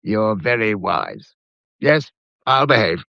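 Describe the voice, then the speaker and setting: An actor playing a stubborn, brusque adventurer husband in a period drama, speaking insistently and declaiming. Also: male voice, fairly low pitch, very animated, slow pace, creaky, no room sound, dull audio, exaggerated voice. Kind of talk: period radio drama acting.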